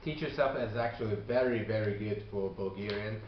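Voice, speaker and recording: A man who is not a native English speaker, giving casual advice on learning a language, talking haltingly with many fillers and restarts. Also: lowish voice. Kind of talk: casual video response. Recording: echoey room, dull lo-fi sound, faint hiss.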